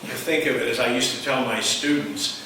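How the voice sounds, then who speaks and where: A man's voice: mid-range voice, moderate pace, pushed and bright, slight background noise. Fluent, wry male editor at a panel, heard from a distant mic in a reverberant hall. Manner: wry, self-deprecating, fluent. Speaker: journal editor, former professor. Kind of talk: conference panel remarks. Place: conference room.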